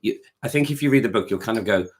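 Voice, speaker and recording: Male choir director, very low voice, loose rambling conversational delivery. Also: animated, quick pace. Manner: rambling, many restarts, sings short notes to demonstrate. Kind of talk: casual interview chat.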